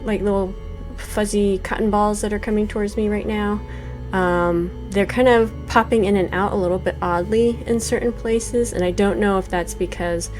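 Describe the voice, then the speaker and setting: A woman's voice: medium pitch, a little mellow. A woman in her forties giving thoughtful, hesitant let's play commentary with many fillers while reviewing a game. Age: 40s.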